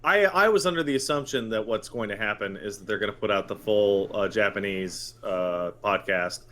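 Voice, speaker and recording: A man's voice: lowish voice, normal speed, fairly bright tone. A man co-hosting a gaming podcast, chatting casually and riffing by acting out imagined reactions. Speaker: podcast co-host.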